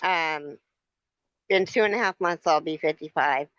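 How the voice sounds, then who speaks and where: A woman in her fifties with a slightly low voice hosts a casual live chat. She rambles and corrects herself as she goes. The sound is a little dull, with the echo of a room around her. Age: 50s. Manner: rambling, self-correcting, quick to laugh. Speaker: host of a crochet live stream.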